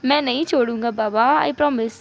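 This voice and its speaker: Woman as a patient, exasperated husband, soft-spoken. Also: on the high side, moderate pace, gravelly, highs cut off. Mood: gentle, pleading. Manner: soft, placating reassurance. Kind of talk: amateur storytelling.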